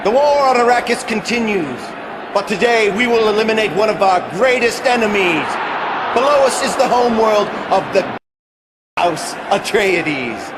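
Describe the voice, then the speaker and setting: An actor playing a ruthless, bloodthirsty sci-fi baron in a game cutscene, bombastic and menacing. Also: male voice, high-pitched, background noise. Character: ruthless, bloodthirsty warlord baron of a science fiction empire. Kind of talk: video game cutscene acting.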